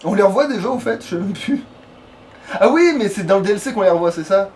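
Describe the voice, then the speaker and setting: High-pitched male let's player, rambling, playful, a bit foul-mouthed, some noise on the track. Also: expressive, fast talker, darkish tone. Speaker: let's player, playing and hosting the video. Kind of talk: casual let's play commentary.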